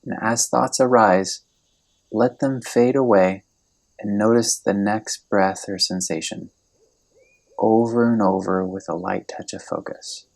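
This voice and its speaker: Male meditation guide, low register with heavy vocal fry, gentle and unhurried scripted delivery. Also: steady tone, poor audio. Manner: gentle, unhurried, soothing instruction with long pauses.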